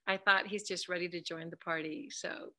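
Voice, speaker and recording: A woman's voice: on the low side, steady tone, moderate pace, crowd noise. A woman from America, chatting casually and a little hesitantly as a workshop participant. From Latin America. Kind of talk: casual chat.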